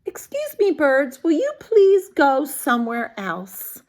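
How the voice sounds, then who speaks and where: Woman doing a storybook elephant, male, fussy, worried, loud, high, swooping. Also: huge pitch swings, slow, full character voice. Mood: polite, relieved.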